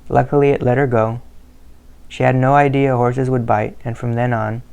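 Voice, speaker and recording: A man narrating a family history in a steady, measured storytelling manner. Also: medium pitch, creaky, smooth.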